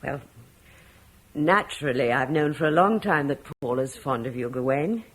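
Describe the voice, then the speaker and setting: A woman's voice: extremely low pitch, lively intonation, normal speed, breathy edge, heavily acted, poor audio. An actress playing a careful, worried middle-aged mother in a classic radio drama. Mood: gentle. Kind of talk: 1950s radio drama acting.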